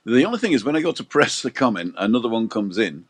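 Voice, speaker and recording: A man's voice: mid-range voice, very animated, fast talker. A man co-hosting a casual livestream, chatting and joking as he reads out viewer comments. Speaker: livestream co-host. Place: balcony, outdoors.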